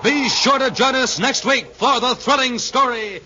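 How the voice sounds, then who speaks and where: A man's voice: very high voice, measured pace, bright, forceful tone. A man with an American accent doing scripted 1950s radio announcing and narration in an emphatic, enthusiastic showman style. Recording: muffled, faintly noisy 1950s radio recording.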